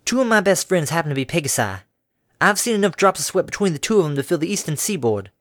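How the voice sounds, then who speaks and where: A man's voice: on the high side, very animated, fast talker, fairly bright tone, no room sound, studio quality, pitched above the performer's own voice. A man voicing a blunt, suspicious young farm mare with a Southern drawl. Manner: gruff, folksy, blunt.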